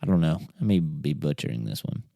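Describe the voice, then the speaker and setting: A man's voice: a bass voice, animated, quick pace, creaky, warm tone, dry recording, clean studio sound. A man in his thirties hosting a podcast in casual conversation, running a quiz for his co-host. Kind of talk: casual chat, quizzing a co-host.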